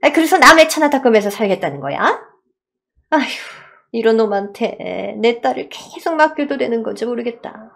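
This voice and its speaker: Scornful older mother-in-law for radio drama, acted by a woman: high, exasperated, swooping, sarcastic nagging. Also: sing-song, vocal fry, fairly bright tone, heavily acted. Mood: sarcastic contempt. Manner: sighing, berating, drawn-out sarcastic emphasis. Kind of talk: Korean radio story drama.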